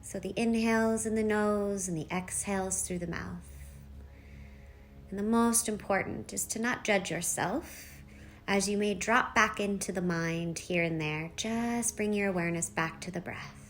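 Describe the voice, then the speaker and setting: A woman leading a guided meditation, giving soft instructions in short phrases with long pauses between them. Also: mid-range voice, steady tone. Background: background music.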